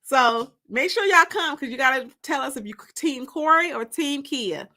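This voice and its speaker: Bright, high-voiced American woman livestreaming. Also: animated, normal speed. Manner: playful, teasing, colloquial. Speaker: livestream host and author. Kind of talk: casual livestream chat with viewers.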